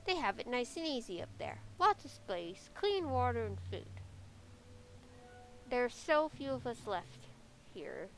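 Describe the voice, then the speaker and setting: A woman's voice: fairly high pitch, big pitch swings, a little slow, a bit bright, heavily acted, poor audio. A woman voicing a wary, world-weary forest witch from a fantasy game, with a sceptical, foreboding manner.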